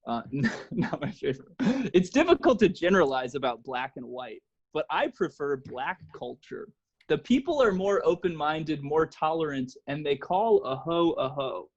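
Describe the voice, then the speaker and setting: Higher-pitched American man, lo-fi podcast sound. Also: big pitch swings, moderate pace, husky. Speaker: podcast host. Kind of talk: casual comedy chat. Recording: dull lo-fi podcast audio.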